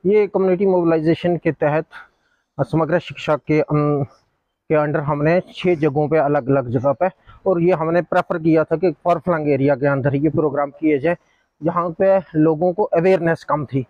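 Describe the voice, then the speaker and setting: A man who is an education official answering a news interviewer in a measured, formal way, mixing in English terms. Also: on the high side, steady tone, dry recording. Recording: dry, dull lo-fi sound.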